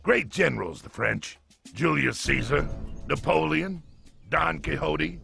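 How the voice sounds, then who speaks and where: A blustering older military colonel in a video game, gruff and full of himself. His voice is heavily gravelly and sits a little high. Clear music plays under the dialogue. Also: measured pace, sharp tone, full character voice. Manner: gruff, bossy and boastful. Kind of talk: video game cutscene acting.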